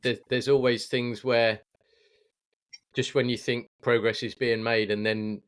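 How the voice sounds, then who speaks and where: Casting: male podcast host, mid-pitched, agreeable and casually conversational. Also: flat delivery, unhurried, smooth. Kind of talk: casual interview chat.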